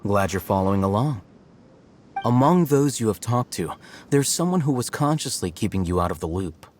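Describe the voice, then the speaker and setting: A man voicing a calm, aloof young male scholar in a video game, measured and dry. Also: fairly low pitch, a little hiss or hum, natural voice. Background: music.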